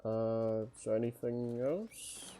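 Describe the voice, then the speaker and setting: A man chatting casually as a livestream host, speaking in a hesitant, halting way. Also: mid-range voice, mellow. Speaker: host of a story-reading livestream. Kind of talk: casual chat.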